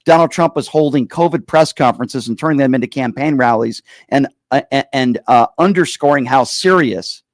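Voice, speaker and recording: A man's voice: mid-range voice, normal speed, hardly any pauses, no echo, studio quality. An American man hosting a political call-in show, arguing insistently, cutting in and stressing key phrases with repeated pointed questions. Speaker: talk show host. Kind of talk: heated political call-in debate.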